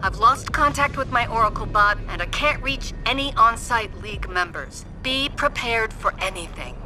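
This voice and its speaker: An actress playing a sharp-witted young female superhero hacker in a video game, brisk, wry and conversational. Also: a little high, animated, natural voice. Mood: worried, focused. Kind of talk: video game character acting. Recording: music under the voice.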